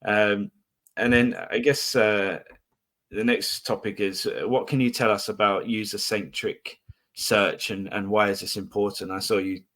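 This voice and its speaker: A man's voice: fairly low pitch, a little slow. A man hosting an interview, chatting casually with plenty of fillers. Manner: relaxed, lots of fillers like "you know" and "um". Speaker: podcast host.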